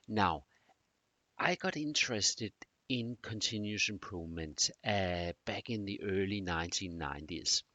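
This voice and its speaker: A middle-aged man from Denmark with a Danish accent, introducing a course in a halting way with many fillers. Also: deep voice, animated, pushed and bright, no room sound. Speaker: teacher.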